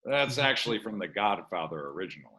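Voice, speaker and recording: A man's voice: sits low, very animated, fast, sharp tone, exaggerated voice. A man playing a blunt, brash, dismissive boss god with a punchy delivery in a comedy table read. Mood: matter-of-fact.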